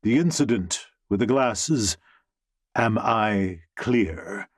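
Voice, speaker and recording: Male narrator as rich old grandmother, imperious, emphatic, slow delivery, storybook character. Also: lowish voice, animated, slightly rough, no room sound, studio quality, heavily acted. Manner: commanding, haughty, emphatic. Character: imperious wealthy old grandmother, a steel company chairwoman.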